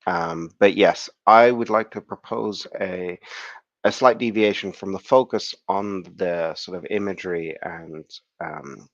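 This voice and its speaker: Croaky low-voiced man on a call. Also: fairly bright tone, no echo, dull audio. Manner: hesitant, many fillers and restarts. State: croaky from the flu. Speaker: conference speaker. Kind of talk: academic online talk. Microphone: video call.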